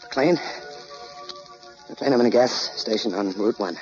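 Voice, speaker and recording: A man's voice: mid-range voice, measured pace, a little mellow, lightly acted. An actor in an old radio drama playing a badly hurt but defiant newsman with a hard-boiled, slangy delivery. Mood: pained, defiant. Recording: muffled old radio recording, music behind.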